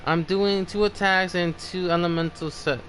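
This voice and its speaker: Male game streamer, notably high voice, halting and conversational, slight noise behind him. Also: steady tone, fairly bright tone, poor audio. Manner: halting, thinking aloud. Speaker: game livestream host. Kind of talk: casual livestream chat.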